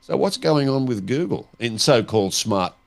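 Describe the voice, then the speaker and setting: A man's voice: mid-range voice, animated, moderate pace, creaky. An Australian man hosting a casual question-and-answer chat, with a wry, teasing way of talking. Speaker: host putting listener questions. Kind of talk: casual radio-style chat.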